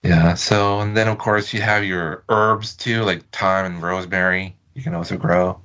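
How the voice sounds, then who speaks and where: A man's voice: low voice, steady tone, moderate pace, fairly bright tone, clear, resonant tone, highs cut off. An American man chatting casually as a podcast guest, wording his points loosely and tentatively. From United States. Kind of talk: casual interview chat.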